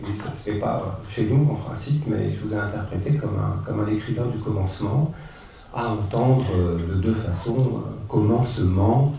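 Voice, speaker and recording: Male interviewer, gravelly and mellow voice, distant mic, roomy echo, faint hiss behind. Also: lowish voice. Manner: hesitant, many fillers while framing questions. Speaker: interviewer, psychoanalyst. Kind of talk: conference interview questions. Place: conference room at a colloquium. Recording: echoey room, mic far off, faint noise.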